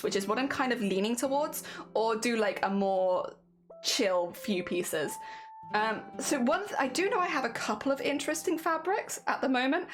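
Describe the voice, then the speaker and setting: Chatty wheezy woman, lively high voice. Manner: chatty, rambling, laughs often. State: wheezy, voice strained. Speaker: crafting livestreamer. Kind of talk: casual chat to live chat. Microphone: headset.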